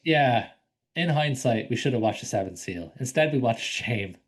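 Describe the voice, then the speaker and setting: A man's voice: medium pitch, expressive, moderate pace. A man co-hosting a casual film podcast, rambling with lots of ums and likes and casual swearing. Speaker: podcast co-host.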